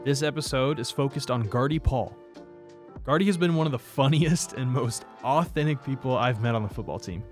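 A man's voice: mid-range voice, fairly quick, over music. Easygoing young male podcast host. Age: 20s. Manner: easygoing, rephrases questions as he asks them. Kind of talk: scripted intro, then casual interview.

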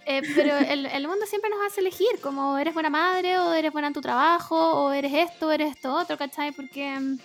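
A woman's voice: high-pitched, a little fast, hardly any pauses, fairly bright tone, soft background music. A Chilean woman co-hosting a casual, irreverent talk show in a slangy, sweary, giggly way.

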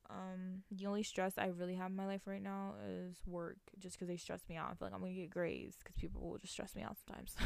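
A woman's voice: medium pitch, creaky, mellow, clear, resonant tone, dry recording. A woman in her late teens chatting casually to viewers in a rambling, giggly, slangy way, thinking aloud as she goes. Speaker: vlogger, college student. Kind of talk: casual solo chat to viewers.

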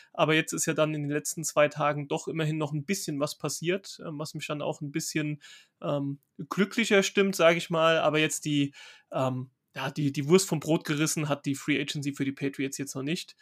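Slightly high-voiced male podcast host. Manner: relaxed, frequent fillers, thinking aloud. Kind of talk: casual podcast chat.

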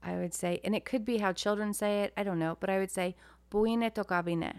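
An animated woman co-hosts a casual podcast chat, full of self-mocking asides and quick laughs. She grew up speaking Greek. Her voice sits in a middle pitch, and the recording is dry and close. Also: clear, resonant tone, no echo. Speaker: podcast co-host.

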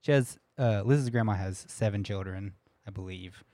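A man's voice: lowish voice, normal speed, mellow, no echo. A young man co-hosting a podcast, telling a story in a rambling, laughing way with lots of restarts. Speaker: podcast co-host. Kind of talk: casual storytelling chat.

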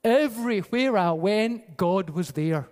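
Man, pastor, high voice, sermon, conversational storytelling, long hall reverb. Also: lively intonation, takes it slowly, a little mellow. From Peru-born, raised in the UK by Scottish parents. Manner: conversational storytelling preaching, varied pace.

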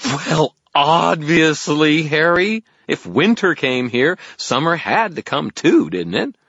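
A man voicing an excitable, impatient tiny male fairy for an audiobook, shrill and exclamatory. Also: slow pace, dry recording, highs cut off, exaggerated voice. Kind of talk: audiobook character acting.

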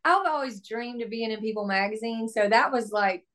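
A young woman, a country singer, chatting upbeat in a casual podcast interview, her voice hoarse from laryngitis and laughing often. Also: fairly high pitch, moderate pace, a bit bright.

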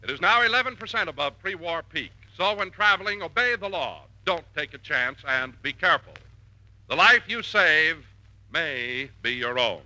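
A man's voice: on the high side, lively intonation, moderate pace, sharp tone. A man reading formal announcements in a 1940s radio style. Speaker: program announcer. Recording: very noisy, crackly old radio recording.

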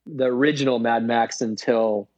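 A man's voice: mid-range voice, steady tone, moderate pace, over a noisy crowd, rough recording. A man co-hosting a casual podcast, arguing with wry, exasperated laughs. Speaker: podcast co-host. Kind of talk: casual debate chat.

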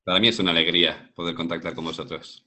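A man around forty with a Castilian accent from Madrid speaks in a low-pitched voice. He is a voice-over professional giving conversational answers as an interview guest. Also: flat delivery, fast, sharp tone. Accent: Castilian Spanish from Madrid. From Madrid, Spain.

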